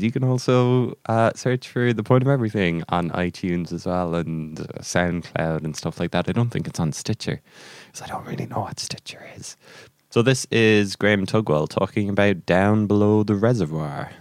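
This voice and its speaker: A man's voice: sits low, moderate pace, no room sound, clean studio sound. An Irish man hosting a podcast in a casual, rambling way, hesitating and correcting himself as he goes. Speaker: podcast host. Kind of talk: casual interview podcast chat.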